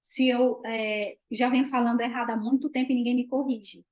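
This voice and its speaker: High-voiced middle-aged Brazilian woman. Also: fairly even intonation, fairly quick, a little mellow. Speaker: host. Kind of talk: conversational commentary on a video call. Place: living room indoors. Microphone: video call, webcam at desk distance.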